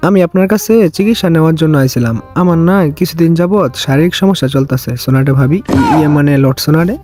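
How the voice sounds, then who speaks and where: A hapless young anime ninja, played by a man, talks fast in a slightly high voice. He is easily flustered, colloquial and full of exclamations, over clear background music in a comic parody dub. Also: lightly acted. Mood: sheepish, flustered.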